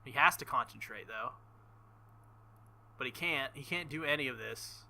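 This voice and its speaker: A man reading a visual novel aloud with casual commentary, halting and embarrassed, often breaking into nervous laughter. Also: mid-range voice, vocal fry, pushed and bright, poor audio. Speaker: let's play host.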